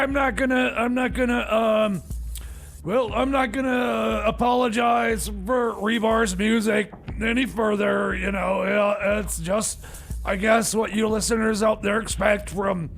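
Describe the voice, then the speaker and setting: High, creaky, stammering male radio host. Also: a bit bright, a little hiss or hum. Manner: flustered, stammering, hesitant, grumbling. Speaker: radio music show host. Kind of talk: live ad-libbed comic music radio patter.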